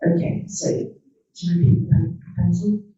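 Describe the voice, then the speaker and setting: A woman councillor reads out her motion in a measured, careful way. Her voice is low and mellow, with little rise and fall. She sounds distant in a very echoey room, and the recording is dull. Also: steady tone, a little hiss or hum. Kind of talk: formal council meeting.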